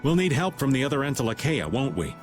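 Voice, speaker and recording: A man's voice: mid-range voice, very animated, fast talker, soft background music, natural voice. A man voicing a wry, easygoing middle-aged rogue in a video game. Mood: wry uneasiness.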